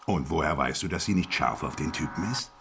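An actor playing a cynical, streetwise hustler who needles and schemes in slangy talk, in a German radio crime drama. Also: male voice, rumbling low, animated, fairly quick, vocal fry, a bit bright, soft background music, exaggerated voice. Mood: probing.

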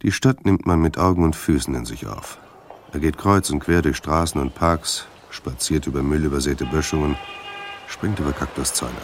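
A man's voice: extremely low pitch, normal speed, a little hiss or hum. A man narrating a German radio crime drama in a sober, terse, matter-of-fact way. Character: sober noir male narrator of detective story.

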